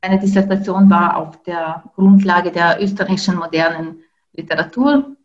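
A woman in her forties whose first language is Ukrainian speaks as a researcher in an interview. She talks thoughtfully, pausing with fillers and restarting her sentences. The recording sounds slightly dull. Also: lowish voice. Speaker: linguistics researcher. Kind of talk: interview answers.

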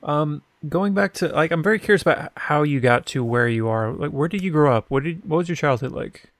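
A man's voice: fairly high pitch, animated, fairly quick, a bit bright, no room sound. A man hosting an interview over a video call, asking curious, friendly questions with short encouraging prompts. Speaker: interviewer, host.

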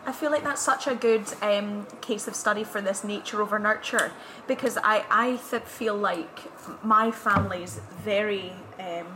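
A woman's voice: medium pitch, background noise. A woman hosting a casual interview, encouraging and laughing along with her guest. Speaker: interviewer, host.

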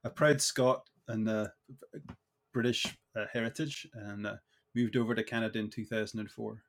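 A man's voice: lowish voice, fairly even intonation, normal speed, slight background noise. A middle-aged Scottish man speaks as an interview guest. His answers come out thoughtfully, with restarts and filler words as he works through his ideas. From Scotland.

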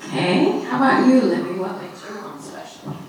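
A woman's voice: mid-range voice, animated, normal speed. A woman leading a children's message at church, talking simply and encouragingly to young children and asking them questions. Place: large echoey church room. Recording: echoey church, distant mic, audience noise.

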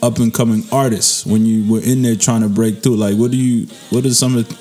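A man's voice: mid-range voice, fairly even intonation, fairly quick, dark tone, soft background music. A man hosting a casual interview, talking in a loose, slangy way with frequent restarts. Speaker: interview host.

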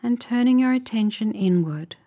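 A woman leading a guided meditation in a calm, measured, instructive way, with soft, breathy phrase endings. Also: fairly high pitch.

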